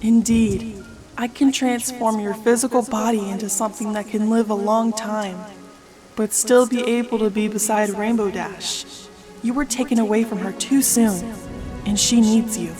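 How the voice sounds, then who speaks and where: Motherly goddess, played by a woman, fairly high voice, long reverb, formal and solemn, fantasy audio drama. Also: steady tone, moderate pace, natural voice. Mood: solemn, grand. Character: serene, motherly goddess of colour. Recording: echoey reverb with music behind.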